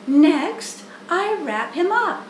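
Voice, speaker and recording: A woman plays a tiny, composed lady spider in a children's storybook. Her voice is high and thin, measured and slow, with genteel candor. It rings in an echoey room. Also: big pitch swings, a little hiss or hum, heavily acted. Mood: businesslike. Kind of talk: children's storybook character reading.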